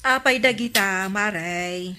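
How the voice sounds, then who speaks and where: A woman's voice: medium pitch, slow, sharp tone. A woman acting a nagging, cautioning village neighbour with a Filipino accent in a comedy radio drama. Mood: fretful.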